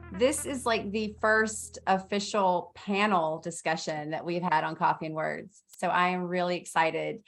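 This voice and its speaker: A woman hosting a casual panel discussion over a video call, chatty and friendly. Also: mid-range voice. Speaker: podcast host.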